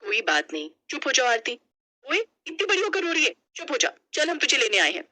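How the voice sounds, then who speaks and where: A woman voicing a brisk, cheerfully bossy elder sister-in-law in a family story. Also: high voice, sing-song, pushed and bright, voice pushed up, heavily acted. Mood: comforting. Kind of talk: animated storybook acting.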